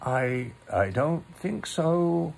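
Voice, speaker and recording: Man putting on a high, fussy rabbit. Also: animated, drawn-out words, gravelly, darkish tone, full character voice. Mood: evasive. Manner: prim, curt, evasive. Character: evasive, fussy male rabbit, unwilling host. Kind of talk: storybook character voice.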